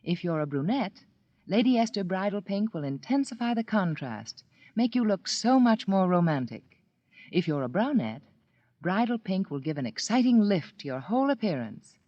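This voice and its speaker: A woman's voice: medium pitch, very animated, normal speed, no echo. Woman, 1940s radio commercial, cooing, persuasive, dull old broadcast. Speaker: commercial announcer.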